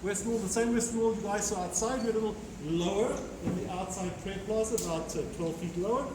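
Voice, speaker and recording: A man's voice: high-pitched, quick pace. A man working as a tour guide, addressing a group live, heard in a distant and echoey noisy on-site recording. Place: underground tunnel by a stone wall.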